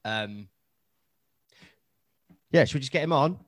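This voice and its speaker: A man's voice: mid-range voice, lively intonation, slow, no room sound, clean studio sound. A man co-hosting a casual podcast, swearing freely in blunt banter. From England. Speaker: podcast co-host. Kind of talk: casual chat.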